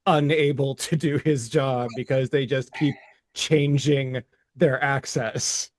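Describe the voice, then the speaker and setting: A man's voice: mid-range voice, fairly even intonation, slow pace, dry recording. A man co-hosting a podcast in casual chat, making dry sardonic jokes and sympathetic remarks. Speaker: podcast co-host.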